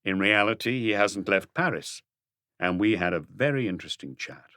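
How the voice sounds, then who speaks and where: A man voicing a calm, ironic police inspector who questions in an unhurried, probing way in a mystery audiobook. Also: fairly low pitch, no echo, studio quality.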